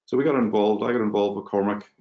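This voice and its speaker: A man's voice: on the low side, fairly even intonation, fast, reverberant, dull audio. A man with a Northern Irish accent, talking as a guest on a webinar in a conversational way with many fillers and restarts. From Northern Ireland. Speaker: guest speaker, packaging company manager. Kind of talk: webinar talk.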